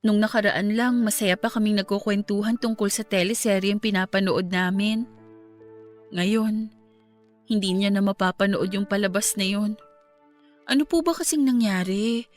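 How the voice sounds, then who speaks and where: A woman's voice: medium pitch, normal speed, close to an everyday voice. An actress playing a young woman grieving her grandparents in a radio drama, earnest and polite. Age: young adult. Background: faint background music.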